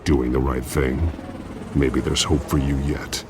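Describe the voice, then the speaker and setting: A man playing a grim, terse masked vigilante with a low growl in a cinematic video game. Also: little pitch movement, measured pace, noisy background. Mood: stern, controlled. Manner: terse, commanding. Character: grim masked male vigilante, stern and controlled.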